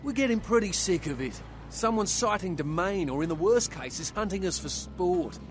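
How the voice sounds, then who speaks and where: An actor playing a scrappy, aggrieved street vampire in video game dialogue. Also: male voice, high voice, expressive, moderate pace, soft background music. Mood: defensive, fed up.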